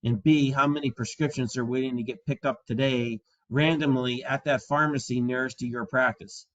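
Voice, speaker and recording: A man in his sixties giving an instructional talk to fellow practitioners in an earnest, deliberate, emphatic way. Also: mid-range voice, steady tone. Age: 60s. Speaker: chiropractor and practice coach. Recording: dull, dry lo-fi audio.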